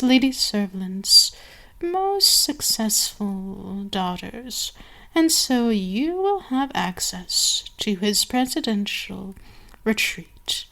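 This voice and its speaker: Vampire queen played by a woman: seductive and domineering ASMR mistress, higher-pitched, extremely unhurried delivery with savoring pauses. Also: fairly bright tone, exaggerated voice. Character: commanding seductive vampire queen addressing her enslaved servant. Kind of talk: ASMR roleplay, scripted monologue.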